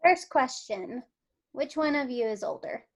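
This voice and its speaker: A woman's voice: sits high, expressive, a little slow, full character voice. A woman reading the part of a bossy, scornful alien examiner, dry and blunt, at a script table read. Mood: brisk, official. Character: scornful, bossy female alien examiner testing abducted humans.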